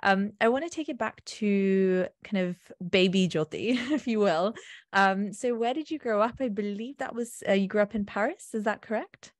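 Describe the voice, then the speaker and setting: A woman's voice: medium pitch, moderate pace, dry recording. A woman hosting an interview, asking questions in an encouraging, friendly way. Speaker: podcast host. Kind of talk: casual interview chat.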